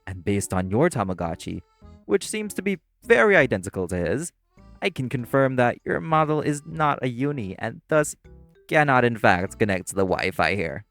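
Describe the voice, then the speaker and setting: A man playing a prim, exasperated waiter in a scripted audio roleplay, speaking formally with deadpan, condescending patience. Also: mid-range voice, normal speed, faint music behind. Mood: dry sarcasm. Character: prim, exasperated, sarcastic young waiter boyfriend. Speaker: voice actor.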